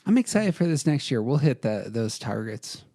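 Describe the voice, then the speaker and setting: A man's voice: mid-range voice, very animated, moderate pace, warm tone, no echo, clean studio sound. A man co-hosting a podcast in casual debate, bantering in a self-deprecating way. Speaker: podcast co-host.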